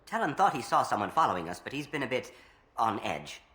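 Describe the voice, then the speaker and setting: An anxious, protective civilian from a video game. He speaks earnestly and plainly, with pitch that moves around a good deal. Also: very animated, brisk, bright, forceful tone. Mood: wary, informative.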